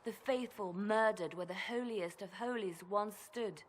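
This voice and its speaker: A devout woman in a crisis of faith acted by a woman, bitter and intense, full of pointed questions. Her voice is mid-pitched, over faint ambient noise, in a dramatic fantasy game style. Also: unhurried, lightly acted. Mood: bitter, anguished.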